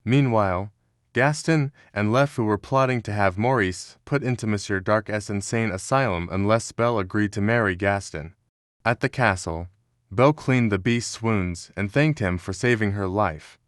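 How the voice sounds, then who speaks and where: Low-voiced male storybook narrator. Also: moderate pace, dry recording, studio quality. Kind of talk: scripted children's story narration.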